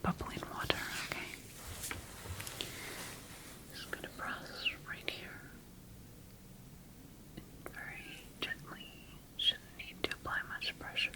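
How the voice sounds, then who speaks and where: Whispered ASMR, woman acting as clinical female scientist, slow pace, formal wording, methodical, gently instructing, sci-fi roleplay. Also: lightly acted, rough recording. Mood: observant, instructing. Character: clinical, methodical female scientist examining her bioengineered monster creation.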